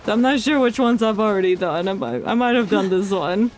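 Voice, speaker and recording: A woman's voice: medium pitch, a little fast, a little mellow. A woman giving casual live commentary on a game, thinking aloud as the game music plays behind. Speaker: game streamer.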